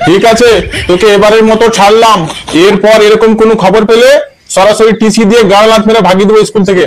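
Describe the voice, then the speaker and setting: A man's voice: high-pitched, flat delivery, fast, crowd noise, lightly acted, low-quality recording. A man voicing a stern cartoon schoolteacher who scolds, threatens and mocks in a sarcastic manner. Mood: stern, threatening. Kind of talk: amateur cartoon comedy dub.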